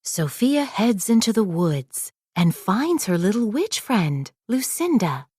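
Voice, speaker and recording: A woman reading a children's story aloud as a scripted, expressive narration. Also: medium pitch, slow, slightly rough, no echo, clean studio sound. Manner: expressive read-aloud delivery.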